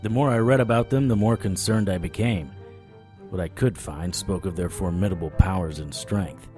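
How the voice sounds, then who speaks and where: An actor playing a wry, stubborn occult investigator in an audio drama, talking and narrating in a conversational noir style. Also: male voice, deep voice, normal speed, dark tone, faint music behind, natural voice. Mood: brooding, musing.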